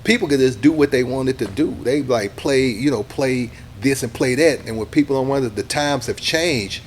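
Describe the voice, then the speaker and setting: A middle-aged man, a radio DJ talking casually in an interview, animated and acting out what other people say. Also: mid-range voice, normal speed, slight background noise. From Texas, USA. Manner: animated, acts out quoted people, says "you know what I'm saying" a lot.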